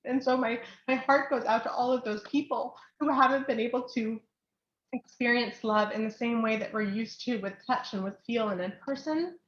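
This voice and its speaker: A woman's voice: on the high side, moderate pace. A young woman giving an informal, heartfelt sermon as a church speaker, with an earnest, affectionate manner. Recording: roomy echo, dull lo-fi sound.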